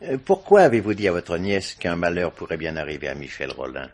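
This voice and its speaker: An actor playing a courteous, probing police commissioner in a French radio mystery, putting terse, formal questions. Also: male voice, low voice, animated, a little fast, a little mellow.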